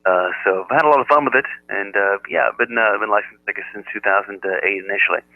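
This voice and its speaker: An American man chatting casually as an amateur radio operator, with a halting delivery. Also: fairly low pitch, no room sound. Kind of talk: casual ham radio chat. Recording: narrow-band, telephone-like radio audio.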